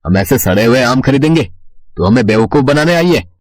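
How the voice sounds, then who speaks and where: Man playing a husky, scornful market shopkeeper. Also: mid-range voice, lively intonation, normal speed, a little mellow, no echo, clean studio sound, natural voice. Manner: scornful, brusque. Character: gruff, dismissive male market shopkeeper. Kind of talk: acted dialogue in a moral bedtime story.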